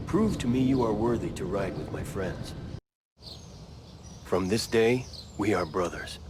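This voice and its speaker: A man playing a solemn, mysterious biker in a video game, speaking formally and gravely. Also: lowish voice, animated, unhurried. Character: solemn, mysterious male biker testing a stranger. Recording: noisy outdoor ambience behind.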